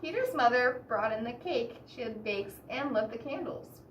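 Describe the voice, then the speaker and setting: A woman reading a picture book aloud expressively to children, pausing to explain and ask the listeners questions. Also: on the high side, animated. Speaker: children's story reader. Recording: roomy echo, distant mic, background hiss.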